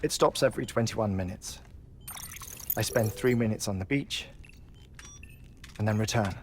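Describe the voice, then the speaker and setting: An actor playing a calm, measured, dryly wry man who dies every twenty-one minutes, in a video game cutscene. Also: lowish voice, slight background noise, lightly acted. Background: game soundtrack music.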